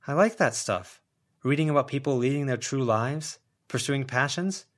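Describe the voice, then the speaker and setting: A guarded young woman in an audiobook, played by a man, pitched up from the narration. She is weary and halting, staying polite while sounding exasperated. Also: close to an everyday voice. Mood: awkward, halting.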